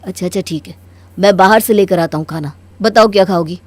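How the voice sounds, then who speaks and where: A woman's voice: medium pitch, a little fast, darkish tone, no echo, natural voice. A woman voicing a dutiful young married son in a Hindi cartoon story, earnest and placating. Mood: pleading.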